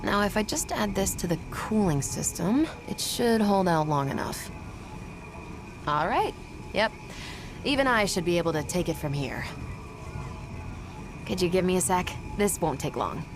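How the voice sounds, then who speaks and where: A woman's voice: lowish voice, sing-song, a little slow, long pauses. A woman playing a confident, blunt young female test pilot in a video game cutscene, with a casual, matter-of-fact delivery. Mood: easy, focused. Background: music.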